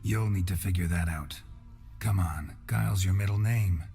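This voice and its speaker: An actor playing a stoic, dry-witted monster hunter in a video game, speaking tersely and deadpan. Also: male voice, deep voice, moderate pace. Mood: matter-of-fact. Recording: music behind the voice.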